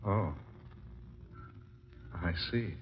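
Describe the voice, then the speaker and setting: An actor playing an uneasy, well-mannered society gentleman in a 1940s radio drama, polite and hesitant. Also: deep voice, extremely slow, breathy edge, exaggerated voice. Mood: guilty, defiant. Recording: muffled 1940s radio recording.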